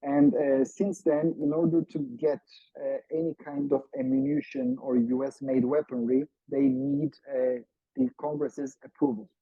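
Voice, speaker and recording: A man from Turkey, a native Turkish speaker with a Turkish accent, giving formal seminar analysis in a halting, emphatic way over a video call. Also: fairly high pitch, breathy edge. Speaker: seminar panelist, political analyst. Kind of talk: seminar talk, semi-prepared analysis. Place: online Zoom seminar. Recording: muffled, echo-free video call audio.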